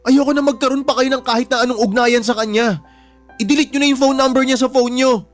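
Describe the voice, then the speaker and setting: A man's voice: very high-pitched, fairly even intonation, fast, faint music behind. A man playing a vulnerable, pleading young son with a Filipino accent in an emotional radio drama. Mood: frightened, pleading. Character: frightened, pleading young son and little brother.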